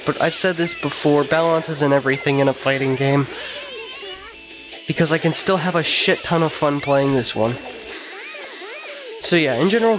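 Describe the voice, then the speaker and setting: Congested young man reviewing games, slightly high. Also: poor audio. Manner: casual, slangy, enthusiastic. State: congested from a cold. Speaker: video game reviewer. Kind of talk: scripted game review voice-over.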